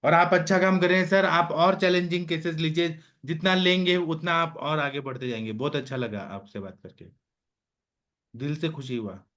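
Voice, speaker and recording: A man leading an online medical session, speaking bluntly with a run of rhetorical questions. His voice sits fairly high. The call audio is dull and lo-fi. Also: steady tone, normal speed, fairly bright tone. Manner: direct, emphatic, rhetorical questions. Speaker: Ayurvedic doctor hosting an online consultation session. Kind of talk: casual instructive talk. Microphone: video call.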